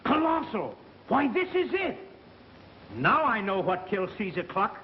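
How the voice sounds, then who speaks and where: A man's voice: high voice, very animated, unhurried, full character voice. A man acting a frantic, blustering radio station boss in an agitated, demanding 1930s melodrama style, on an old film soundtrack. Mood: excited, blustering. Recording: muffled, hissy vintage 1930s film soundtrack.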